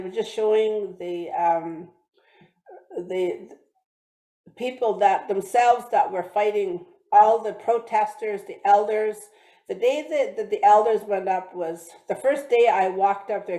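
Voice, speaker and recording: Woman from British Columbia, guest speaker, mellow tone, many restarts, echoey room. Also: medium pitch. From British Columbia, Canada. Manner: halting, many restarts and fillers. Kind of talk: talk with slides. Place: church hall or online meeting.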